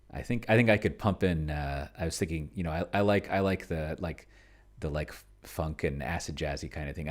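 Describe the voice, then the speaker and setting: A man giving casual live commentary as he plays a tabletop game solo, rambling and hesitant as he thinks out loud. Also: a bass voice. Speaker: solo tabletop game player and video host.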